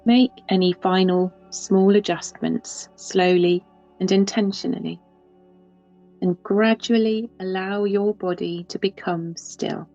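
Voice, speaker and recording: A woman leading a guided yoga nidra relaxation, speaking softly and unhurriedly with long pauses. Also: mid-range voice, steady tone. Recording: slightly dull, with soft music behind.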